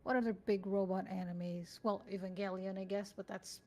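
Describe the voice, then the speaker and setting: A woman's voice: medium pitch, fairly even intonation, normal speed, a little mellow. A woman whose first language is not English, chatting casually with friends on a gaming stream, giggling often and trailing off mid-thought.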